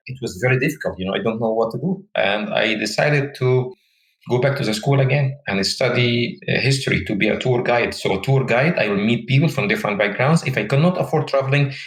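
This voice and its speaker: A man's voice: mid-range voice, a little fast, reverberant, low-quality recording. A man in his forties from Egypt, speaking English with an Egyptian Arabic accent as his second language, telling his story earnestly and reflectively in an interview. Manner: earnest, reflective, with frequent restarts and non-native grammar. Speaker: guest, tour company owner and Egyptologist.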